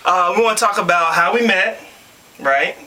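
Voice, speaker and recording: Bright, high-voiced man telling a story. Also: moderate pace, a little hiss or hum, poor audio. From Louisville, Kentucky. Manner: animated, laughs often, slangy. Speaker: audition video co-presenter. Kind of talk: casual storytelling.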